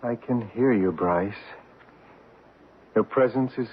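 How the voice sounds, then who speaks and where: Actor as an earnest, slow-spoken spirit medium. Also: male voice, medium pitch, close to an everyday voice. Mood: entranced. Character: hushed, earnest male spirit medium and photographer named David. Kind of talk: old radio mystery drama acting. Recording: noisy, phone-like old radio broadcast recording.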